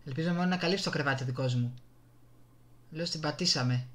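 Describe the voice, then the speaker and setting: Bright-voiced Greek man commentating a game. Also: on the high side, slight background noise. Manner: excitable, exclaims and mutters to himself. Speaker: gaming YouTuber. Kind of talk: casual live gameplay commentary. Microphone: desktop gaming microphone.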